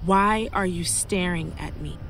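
An actress playing a solemn woman caught up in an audio horror drama, speaking in a measured, serious way. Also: on the low side, a little hiss or hum, low-quality recording. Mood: uneasy. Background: loud dramatic music.

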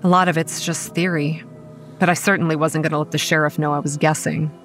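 Horror podcast lead: a hot-headed young woman running a campground, lower register, earnest dramatic narration with bite, over faint music. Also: little pitch movement, brisk, a bit bright. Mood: measured, explanatory. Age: young adult. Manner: earnest, dramatic storytelling with sardonic edge. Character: young woman campground manager, guarded, hot-tempered, stubborn. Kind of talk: horror audio drama, first-person narration and dialogue.

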